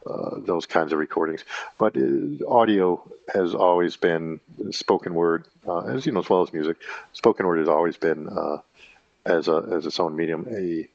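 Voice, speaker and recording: A man in his fifties talking in a casual rambling monologue, halting and full of fillers and self-corrections. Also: low voice, gravelly, no room sound, muffled recording. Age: 50s. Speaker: channel host.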